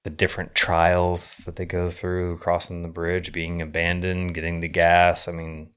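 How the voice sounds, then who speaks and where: Male film podcast co-host: low-pitched, loose and rambling, thinks aloud in unfinished phrases. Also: monotone, a bit bright, clear, resonant tone. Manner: halting, rambling, trailing off mid-thought. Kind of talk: casual film discussion.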